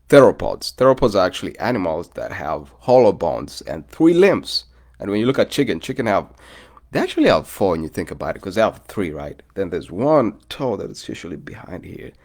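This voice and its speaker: A Kenyan man with a low, deep voice that swings widely up and down as he talks. He rambles in a loose, friendly way and keeps calling his listeners "bro". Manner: loose and chatty, often says "bro", greets live commenters. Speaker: vlogger. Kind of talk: casual rambling chat to viewers.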